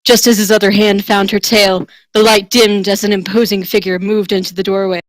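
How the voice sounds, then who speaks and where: A woman's voice: medium pitch, little pitch movement, brisk, dry recording, poor audio. A woman giving a theatrical, tongue-in-cheek dramatic reading of a fantasy romance. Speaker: reader.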